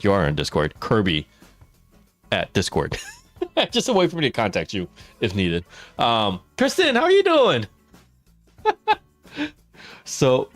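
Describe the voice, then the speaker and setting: A man telling stories on a live stream while he plays a game, chatting with his viewers and laughing often. His loud voice is held down for the mic. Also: on the low side. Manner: chatty, laughs often, reads and answers chat. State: mouth watering after dental work. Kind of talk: casual live-stream storytelling while gaming.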